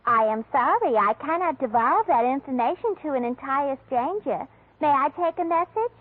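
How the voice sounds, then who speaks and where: Flustered prim secretary, airy pretensions, high pitch, muddled big words, old-time radio comedy, dull band-limited recording. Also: female voice, animated, normal speed. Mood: prim, officious. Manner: affected, malapropism-prone. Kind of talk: 1940s radio comedy acting.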